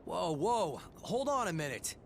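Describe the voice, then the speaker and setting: An actor playing a gruff, loyal male fighter in a video game cutscene, talking in a casual, blunt, slangy way. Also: high voice, sing-song, measured pace, noisy background, exaggerated voice. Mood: protesting.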